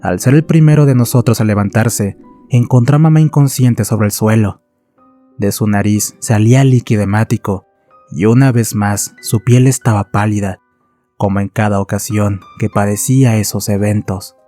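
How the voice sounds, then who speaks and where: A man narrating a scripted horror story in a solemn, measured, dramatic way. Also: lowish voice, dark tone, faint music behind.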